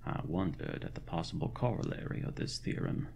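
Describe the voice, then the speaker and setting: A man playing a fussy, caring 1920s country doctor, reading his journal in formal, ornate, old-fashioned diction for a horror audio drama, with a deep, gravelly voice. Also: huge pitch swings, fairly quick, darkish tone, a little hiss or hum, heavily acted. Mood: reflective.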